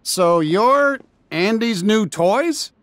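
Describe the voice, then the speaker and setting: An actor playing a friendly, loyal toy cowboy leader in a video game, with an easygoing, folksy, reassuring manner. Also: male voice, sits high, expressive, very slow, fairly bright tone, no room sound, heavily acted. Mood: cautious. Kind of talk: animated video game dialogue.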